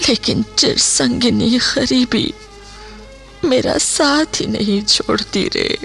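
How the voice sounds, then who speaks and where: Give me a slow, plaintive, long-suffering widow. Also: female voice, fairly high pitch, creaky, fairly bright tone, faint music behind, heavily acted. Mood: sorrowful. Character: impoverished motherly woman living as a widow, long-suffering. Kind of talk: Hindi radio play acting.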